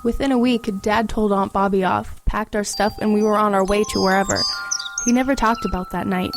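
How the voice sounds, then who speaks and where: A woman's voice: mid-range voice, fairly even intonation, brisk, faint music behind, natural voice. A young woman playing an earnest, anxious but resolute teenage girl who narrates and acts in an audio horror drama. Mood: reflective. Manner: earnest, urgent in dialogue, reflective in narration. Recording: rain and ambience behind the voice.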